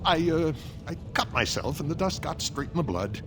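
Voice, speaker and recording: A man's voice: fairly high pitch, sing-song, moderate pace, background noise. An actor playing a nervous, addled male dwarf blacksmith in a video game, stammering and scattered but proud of his craft. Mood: sheepish, jittery. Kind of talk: video game character acting.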